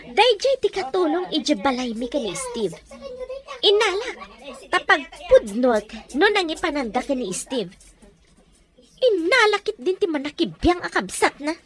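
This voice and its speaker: A woman's voice: high-pitched, very animated, measured pace, soft background music. A woman acting a cruel, scornful female villain who gives orders in a radio drama. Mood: scheming, sharp. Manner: scornful, commanding. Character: cruel, bossy female villain holding a captive.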